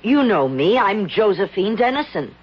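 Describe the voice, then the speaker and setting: A movie actress stranded in a strange land, flustered and stammering. Her husky voice swings widely in pitch while she speaks slowly. It has the dull, lo-fi sound of an old radio broadcast. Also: sing-song. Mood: indignant. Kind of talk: 1970s radio drama acting.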